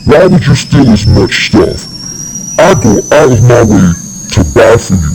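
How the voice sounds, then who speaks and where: A man's voice: medium pitch, very animated, unhurried, poor audio. A man playing an outraged adult scolding a young thief in a story, over music.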